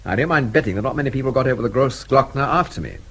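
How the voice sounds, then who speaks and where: An actor playing a brash, witty, hard-drinking English agent in a radio drama, flippant and breezy, with an English accent. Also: male voice, mid-range voice, expressive, rapid-fire, a bit bright, slightly rough.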